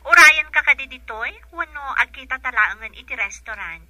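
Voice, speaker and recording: A woman's voice: high-pitched, lively intonation, a little fast, bright, forceful tone, no room sound, heavily acted. A woman acting a young, evasive girlfriend in a radio drama, with an Ilocano accent. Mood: pleading, eager. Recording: music bed behind the voice.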